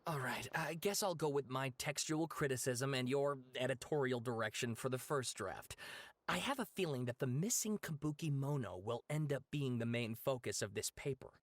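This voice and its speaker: A man's voice: mid-range voice, moderate pace, no room sound, lightly acted. An actor playing a chatty, exasperated young male researcher in a video game, casual and wry in manner. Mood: musing. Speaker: scholar character in a video game.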